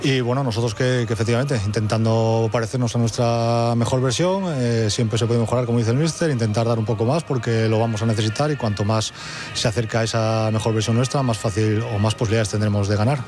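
A man from a football coaching staff answering in a pre-match interview, with measured, hesitant phrasing. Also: mid-range voice, steady tone, music in the background.

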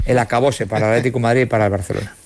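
A man's voice: mid-range voice, fairly even intonation, very fast, a little mellow. A man giving blunt, insistent opinions as a radio sports pundit. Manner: blunt, insistent, repeats himself.

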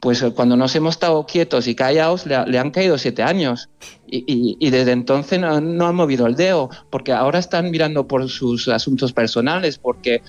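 Halting man on dull remote line. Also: mid-range voice. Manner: halting, repetitive, earnest and indignant. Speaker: interview guest, sports journalist. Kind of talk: spontaneous radio interview. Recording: dull, band-limited remote call audio.

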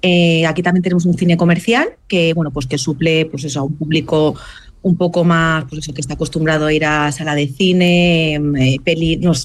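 A woman's voice: low voice, fairly even intonation, brisk. A woman who organizes a film festival, talking in a friendly way with frequent tag questions. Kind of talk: casual discussion.

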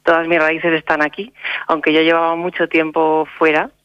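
A woman's voice: low-pitched, flat delivery, normal speed, a bit bright, clear, resonant tone, dry recording, dull audio. A woman from Salamanca, a social worker, answering interview questions hesitantly with restarts and tag questions. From Salamanca, Spain. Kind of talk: radio interview.

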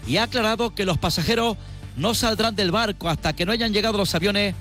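High-voiced male news reporter. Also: a little fast, fairly bright tone, soft background music.

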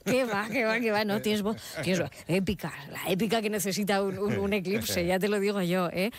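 A woman's voice: mid-range voice, fairly even intonation, fairly quick. A woman with an accent from Spain hosting live radio in a chatty way, often repeating phrases for emphasis. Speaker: radio host.

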